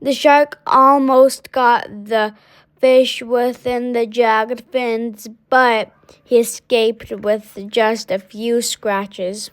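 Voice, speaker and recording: A woman reading a children's story aloud in a halting way, sometimes stumbling over words. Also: a little high, fairly even intonation, clean tone. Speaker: storybook reader.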